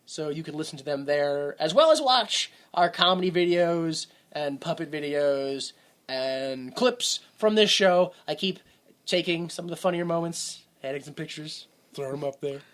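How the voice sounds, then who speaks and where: A man's voice: on the high side, lively intonation, moderate pace, a bit bright. A young man hosting a podcast, rambling casually and laughing at his own jokes. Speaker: podcast host.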